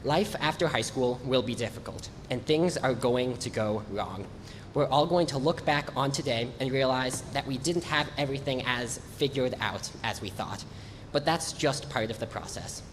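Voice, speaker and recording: A man's voice: mid-range voice, expressive. Congested teenage boy giving a speech. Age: teens. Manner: earnest, measured reading. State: congested from allergies. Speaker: graduating student speaker. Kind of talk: prepared graduation speech. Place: large hall or gym, on stage. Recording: echoey hall with faint noise.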